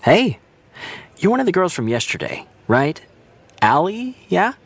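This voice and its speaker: An actor playing a friendly, casual young TV star in a naturalistic audio drama. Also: male voice, high-pitched, very animated, measured pace, dry recording, heavily acted.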